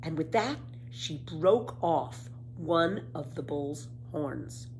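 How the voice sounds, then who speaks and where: A woman reading a children's story aloud with dramatic, emphatic, expressive narration. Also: low-pitched, slow pace. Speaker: storybook reader. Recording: faint background noise.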